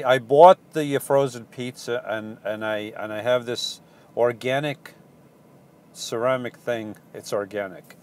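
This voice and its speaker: A man with a Portuguese accent and an ordinary mid-range voice chats loosely as a van-life vlogger. He answers viewer questions in a halting, rambling way, stopping often for ums and starting his sentences over. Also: mid-range voice. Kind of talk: casual live Q&A chat.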